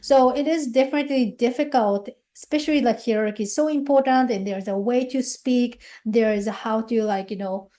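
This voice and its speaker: A woman's voice: a little high, fairly quick, hardly any pauses, mellow. A Japanese woman in her thirties, a researcher, speaking English with a Japanese accent, talking freely in an interview with many "like, you know" fillers. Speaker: guest researcher. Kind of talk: interview conversation.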